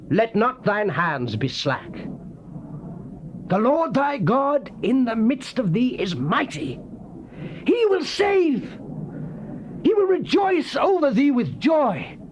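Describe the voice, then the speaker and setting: Fervent high-pitched prophet, swooping declamation. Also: male voice, heavily acted. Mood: exultant. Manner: declamatory, exultant, slow solemn phrasing. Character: fervent Old Testament prophet proclaiming joy and restoration. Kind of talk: dramatized King James scripture reading. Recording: dull, noisy old cassette tape.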